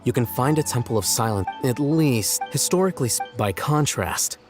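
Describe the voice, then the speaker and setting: A man's voice: medium pitch, lively intonation, normal speed, over music, lightly acted. Actor as a composed, scholarly young man. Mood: explanatory. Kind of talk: video game dialogue.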